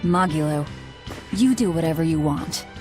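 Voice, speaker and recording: A woman's voice: low voice, moderate pace, music in the background, natural voice. A woman voicing a grim, vengeful young woman who leads her band with cold, clipped commands in a game cutscene. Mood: cold, commanding. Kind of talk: video game cutscene voice acting.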